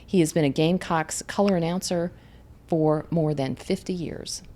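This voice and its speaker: A woman's voice: low-pitched, moderate pace, warm tone. A woman hosting a community podcast, introducing guests by reading their backgrounds and asking friendly questions. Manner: reads guest bios, friendly. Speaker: podcast host. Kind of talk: podcast interview.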